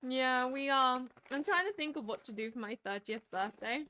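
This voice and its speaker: A woman in her late twenties chatting casually on a stream, animated and laughing often. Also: high-pitched, moderate pace. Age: 20s. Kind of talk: casual chat.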